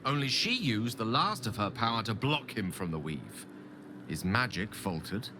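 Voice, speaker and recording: A man acting as an eloquent, ambitious wizard in a video game, speaking in theatrical, erudite phrases with dramatic pauses. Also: on the low side, big pitch swings, background noise. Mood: storytelling. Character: ambitious, eloquent male wizard hungry for godlike power. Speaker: game character, wizard companion.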